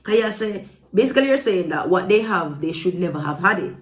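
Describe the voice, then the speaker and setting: A woman's voice: fairly low pitch, animated, a little fast, echoey room. A woman giving casual opinion commentary to viewers, speaking emphatically and repeating phrases for stress. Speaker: vlogger.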